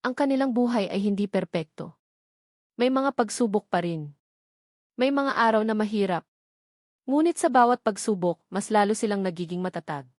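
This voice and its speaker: A woman's voice: mid-range voice, expressive, normal speed, pauses often, darkish tone, dry recording. Filipino woman narrating, dry close sound. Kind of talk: scripted romance story narration.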